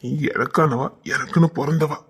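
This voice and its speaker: A man acting a devoted young lover, husky and pleading, in a romantic drama. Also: a little high, steady tone, normal speed, fairly bright tone. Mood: tender. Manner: tender, pleading, emotional. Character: devoted, tearful young village man in love.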